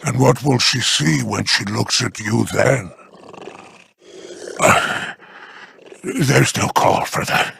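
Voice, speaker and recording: Smug gravelly schemer, slow, bright edge, lively pitch, game character. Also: male voice, slight background noise, heavily acted. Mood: sly.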